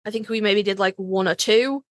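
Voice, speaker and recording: A woman's voice: medium pitch, moderate pace, dry recording, studio quality. A woman co-hosting a podcast in casual fan chat, informal and emphatic, repeating phrases to drive a point home. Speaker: podcast co-host.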